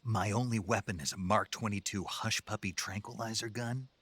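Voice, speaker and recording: A gruff, husky soldier. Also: male voice, fairly low pitch, fairly even intonation, moderate pace, fairly bright tone, no room sound. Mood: wry. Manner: laconic and sardonic. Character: gruff male special forces soldier, dry wit. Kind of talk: game dialogue.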